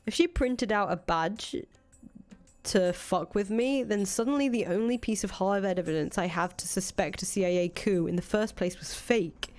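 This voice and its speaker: A woman's voice: mid-range voice, normal speed, darkish tone, close to an everyday voice. A woman playing a cynical, foul-mouthed ousted rebel leader in a visual novel, thinking aloud in casual, profane speech. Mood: bitter, frustrated. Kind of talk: acted reading of visual novel dialogue. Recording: music under the voice.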